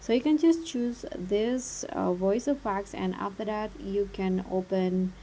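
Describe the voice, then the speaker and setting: A woman hosting a step-by-step app tutorial, talking in a halting, instructional way. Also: medium pitch, warm tone, a little hiss or hum. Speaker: tutorial host.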